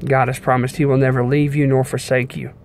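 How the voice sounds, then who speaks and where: A young man giving an informal devotional sermon in an earnest, measured way, pausing between short phrases. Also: medium pitch, level tone, a little mellow. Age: young adult. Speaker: preacher giving a devotional message. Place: inside a car. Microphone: phone at arm's length. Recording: clear background noise inside a car.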